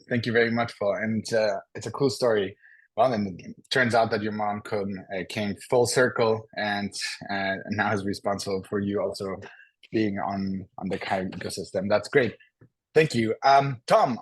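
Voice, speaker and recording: Man hosting an online panel, husky low voice, dry sound, friendly. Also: steady tone, normal speed, dry recording, rough recording. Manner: friendly, inviting, hesitant fillers. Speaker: panel moderator. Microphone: video call.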